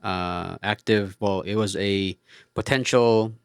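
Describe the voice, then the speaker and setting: Low-voiced Filipino man explaining casually. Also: steady tone, slow pace, clear, resonant tone, dry recording, studio quality. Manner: hesitant, polite, switches between two languages mid-sentence. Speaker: presenter explaining radio gear. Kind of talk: casual explanatory product talk.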